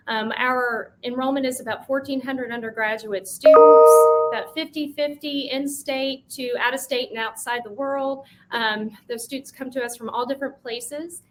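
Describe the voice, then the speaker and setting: An upbeat woman with a fairly high voice leads a college panel discussion, pausing on soft ums as she introduces the students. Faint hiss sits under her voice. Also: rough recording. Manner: upbeat, fills pauses with um. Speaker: moderator, admissions dean. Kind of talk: panel moderation.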